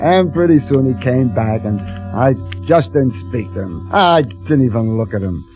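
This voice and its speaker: An actor playing an eager, boastful young elevator operator who gets easily flustered, in 1940s radio style. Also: male voice, mid-range voice, expressive, a little slow, natural voice. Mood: wounded, scheming. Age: young adult. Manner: earnest bragging, stammering when flustered. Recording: muffled old radio broadcast with music.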